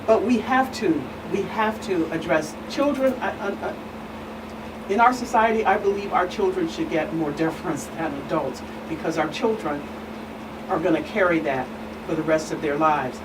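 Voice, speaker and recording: A woman speaking as an expert guest on a panel, deliberate and emphatic, repeating phrases for stress. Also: on the low side. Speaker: guest expert, attorney and pastor. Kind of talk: panel discussion, earnest explanation. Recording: distant mic, clearly noisy room hum.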